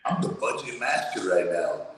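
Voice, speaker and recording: Low-voiced blunt man on an echoey call. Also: sing-song, normal speed, a bit bright, slight background noise. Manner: streetwise, blunt, swears freely. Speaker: guest. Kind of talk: casual interview chat. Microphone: remote video call.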